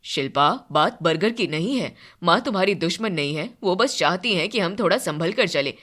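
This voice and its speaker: An earnest young husband from a domestic story, played by a woman. The register is low, close to a man's. He reasons and gently scolds, pressing each point responsibly. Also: animated, moderate pace. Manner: reasoning, admonishing.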